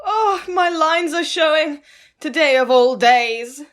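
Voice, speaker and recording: A woman's voice: sits high, lively intonation, slow, fairly bright tone, heavily acted. A woman playing a vain, genteel lady of the house and mother. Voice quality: genteel, affected.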